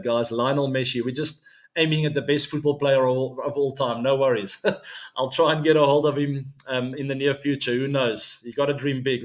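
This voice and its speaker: Man, South African accent, 40s, Afrikaans first language, solo cricket show host, relaxed chatty talk with many ums. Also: mid-range voice.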